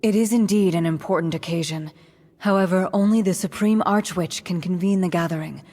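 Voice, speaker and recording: An actress playing a composed witch of a castle coven, formal and measured, in a video game. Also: fairly low pitch, a little mellow, close to an everyday voice. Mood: formal, cool. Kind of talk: dramatic video game cutscene acting. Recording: long hall reverb.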